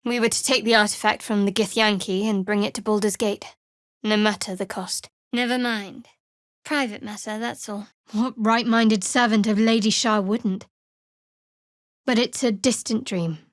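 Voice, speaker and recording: A woman's voice: medium pitch, fairly even intonation, normal speed, dry recording, close to an everyday voice. An actress voicing a guarded, sardonic young female cleric in a video game, with a dry, wry manner. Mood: wry, teasing. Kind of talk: video game character voice acting.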